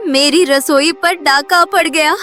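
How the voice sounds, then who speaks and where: A melodramatic cartoon village housewife, voiced by a woman, with an extremely high voice. She talks slowly and theatrically over faint music. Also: fairly even intonation. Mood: wailing. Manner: teasing, melodramatic. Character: chatty, melodramatic village sister-in-law housewife. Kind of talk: Hindi comedy cartoon voice acting.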